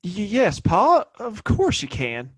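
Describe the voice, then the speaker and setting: An actor playing a defensive gunslinger son eager to please his father in a Western audio play, halting and protesting. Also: high voice, wildly swooping pitch, slightly rough, heavily acted. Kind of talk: Western audio drama acting.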